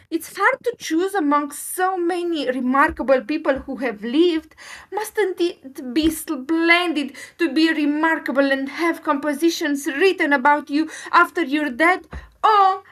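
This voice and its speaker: Lady doing a high voiced schoolgirl from a storybook, romantic and earnest, chatters on and on. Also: fairly bright tone. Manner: effusive, breathlessly wordy.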